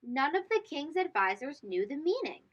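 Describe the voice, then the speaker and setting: A woman's voice: sits high, sing-song, normal speed, muffled recording. A woman hosting a children's read-aloud, telling storybook tales emphatically and putting questions to young listeners.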